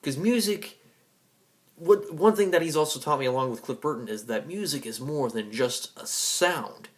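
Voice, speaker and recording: A man hosting a video blog, speaking unscripted and earnestly in a halting way, often repeating himself. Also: on the high side, lively intonation. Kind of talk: unscripted personal fan tribute monologue.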